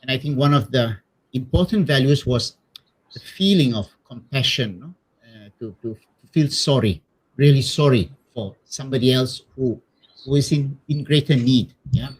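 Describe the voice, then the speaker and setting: A middle-aged man with a Malaysian accent speaks as an interviewed missionary priest. His voice has an average pitch. He tells his own story casually and hesitantly, repeating words and adding little conversational tags. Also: moderate pace, breathy edge, low-quality recording.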